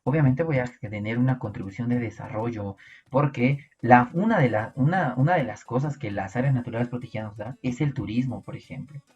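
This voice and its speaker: A man's voice: medium pitch, brisk, a little mellow, slightly rough, highs cut off. A man with a Peruvian Spanish accent teaching an online biology class, explaining step by step and asking his students rhetorical questions. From Peru.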